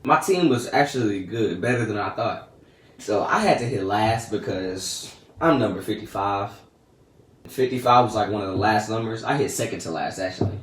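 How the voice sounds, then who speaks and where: A teenage boy casually telling a story about his day in slangy, laid-back talk that often trails off soft and quiet. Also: fairly low pitch, expressive, normal speed, distant mic. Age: teens. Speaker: vlogger. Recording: echoey room, a bit off-mic, faint noise.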